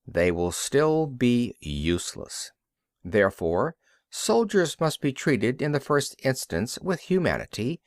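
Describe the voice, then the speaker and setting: A man narrates a written text as an audiobook reader. His voice sits at an average pitch for a man, and he delivers the sentences in a measured, deliberate way. The sound is dry, with hardly any room around it. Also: dry recording. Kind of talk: scripted narration.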